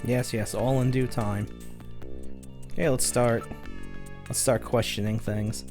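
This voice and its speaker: A man's voice: mid-range voice, steady tone, a little slow, music in the background. A man hosting a let's play, giving wry, laid-back commentary with plenty of fillers. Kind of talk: casual game commentary.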